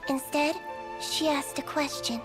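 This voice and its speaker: A woman voicing a small, gentle, childlike female healer creature in a video game, calm and matter-of-fact. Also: high-pitched, measured pace. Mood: gentle storytelling. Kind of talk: video game voice acting, storytelling. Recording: music under the voice. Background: game music.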